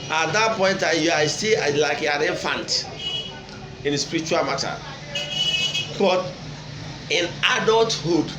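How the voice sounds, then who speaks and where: High-voiced Nigerian Igbo man lecturing, echoey recording. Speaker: traditional religion teacher and online video host. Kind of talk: unscripted religious lecture to camera. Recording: echoey room with clear background noise.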